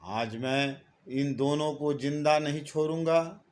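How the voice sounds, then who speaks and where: A man voicing an impulsive, hot-tempered rich merchant husband in a storybook tale, speaking deliberately in short phrases. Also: a little high. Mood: angry, betrayed. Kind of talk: storybook character lines read by narrator.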